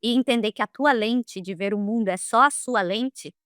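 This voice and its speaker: A Brazilian woman of middle age, a guest speaking in a casual interview, lively and quick to laugh, often ending phrases with "né?". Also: fairly high pitch, dry recording, clean studio sound. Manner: lively, animated, quick to laugh, frequent "né?" tags. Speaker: guest, HR leader.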